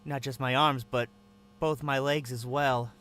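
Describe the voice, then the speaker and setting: A man's voice: mid-range voice, steady tone, unhurried, a bit bright, slight background noise. A man narrating in first person as a captive, with a tense, wary inner voice.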